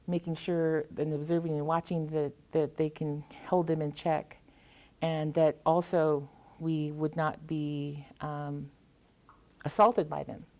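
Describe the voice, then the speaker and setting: A woman's voice: deep voice, flat delivery, slow pace, mellow. An American woman, a poet, speaking reflectively as she tells stories and reads her work to an audience. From US Pacific Northwest. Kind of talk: anecdotal talk and poetry reading to an audience.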